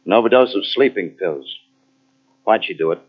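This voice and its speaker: An actor playing a firm, steady police lieutenant in an old-style radio mystery, with a plain, authoritative delivery. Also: male voice, low voice, moderate pace. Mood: calm, firm. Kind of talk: 1940s radio mystery acting. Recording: dull lo-fi old radio tape.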